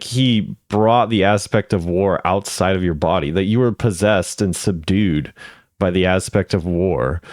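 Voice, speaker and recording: A man's voice: sits low, no echo, clean studio sound. A man hosting a gaming video, giving laid-back, off-the-cuff commentary as he thinks aloud. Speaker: video host ranking game voice lines.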